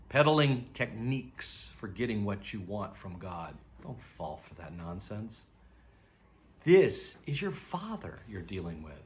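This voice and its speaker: A man reading scripture aloud for a church, with emphatic, direct delivery. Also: mid-range voice.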